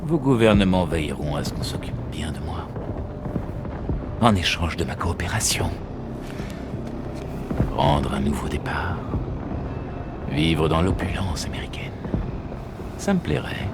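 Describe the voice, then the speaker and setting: A man's voice: extremely low pitch, very animated, a little slow, long pauses, heavy background noise, exaggerated voice. A man playing a smug, bargaining captured Nazi officer in a French video game, with a haughty, self-important delivery.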